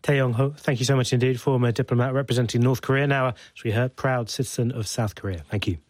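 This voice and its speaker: A man's voice: mid-range voice, fairly even intonation, fast, dry recording. A man interviewing a guest for a radio news program, attentive and prompting with short murmurs. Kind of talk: broadcast interview.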